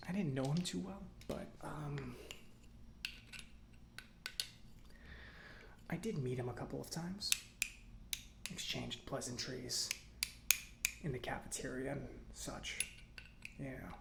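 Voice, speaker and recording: A man playing a chatty, easygoing robot mechanic in an ASMR roleplay, speaking softly in a breathy half-whisper with a rambling, conversational manner. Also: mid-range voice, heavy background noise. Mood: gently confiding. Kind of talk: soft-spoken ASMR roleplay.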